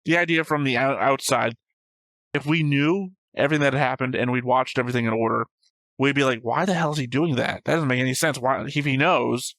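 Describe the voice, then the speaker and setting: A man's voice: mid-range voice, fairly even intonation, fairly quick, a bit bright, no room sound, low-quality recording. A man co-hosting a reaction show, chatting casually and wandering into joking tangents. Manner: rambling, joking, talks in tangents. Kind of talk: casual discussion and commentary.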